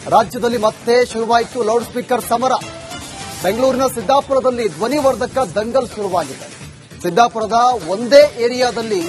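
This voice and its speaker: A man reading scripted, dramatic news headlines as a voice-over. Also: extremely high pitch. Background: music.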